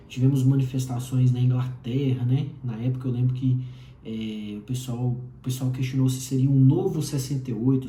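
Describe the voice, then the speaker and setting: Lecturing Brazilian man, dark roomy sound. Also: mid-range voice, a little hiss or hum. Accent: Brazilian Portuguese. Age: 30s. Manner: lecturing, filler-heavy, tag questions. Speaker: commentator. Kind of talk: solo explainer monologue. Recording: roomy echo.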